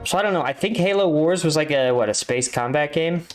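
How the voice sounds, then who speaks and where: A man's voice: a little high, animated, fairly quick, music in the background. A man hosting a reaction video, explaining things in a casual, conversational way and often checking in with the listener. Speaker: reaction video host, army veteran.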